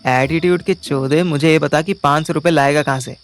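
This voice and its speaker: A man's voice: on the high side, fairly even intonation, a little fast, faint music behind, lightly acted. A man playing a wisecracking, haggling young amateur detective who narrates an audio story with slangy, sarcastic banter. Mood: indignant, mocking. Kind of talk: first-person audio story narration with acted dialogue.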